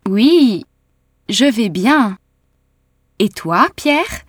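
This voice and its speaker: A woman voicing a young female student in a slow, clearly spoken language-course dialogue. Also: on the high side, very animated, no echo, clean studio sound, heavily acted. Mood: polite. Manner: careful, clearly articulated. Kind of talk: slow scripted textbook dialogue.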